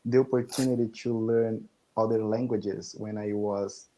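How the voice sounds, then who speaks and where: A man in his twenties who is learning English, speaking slowly on a video call with frequent restarts and small laughs. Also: lowish voice, fairly even intonation, mellow, rough recording. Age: 20s. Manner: slow and halting, restarts often, laughs between phrases. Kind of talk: casual video-call chat. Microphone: video call headset or laptop mic.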